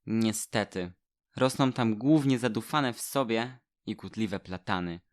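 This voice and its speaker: A man's voice: lowish voice, measured pace, no room sound, studio quality, close to an everyday voice. A man playing an innocent stone statue of a boy in an audio drama, speaking earnestly and plainly. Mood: sympathetic.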